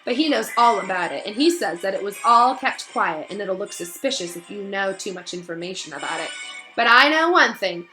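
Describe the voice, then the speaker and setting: A woman voicing an arrogant, petulant schoolboy bully in a storybook, with a slow disdainful drawl that turns snappish. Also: mid-range voice, expressive, sharp tone, faint music behind, rough recording. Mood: smug.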